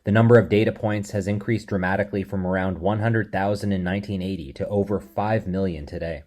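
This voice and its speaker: A man delivering a scripted lecture in a measured, steady explanatory way. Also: low-pitched.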